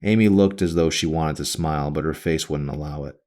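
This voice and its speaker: A man reading a novel aloud as its author, in a brooding, dramatic narration style with deliberate phrasing. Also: very deep voice, darkish tone.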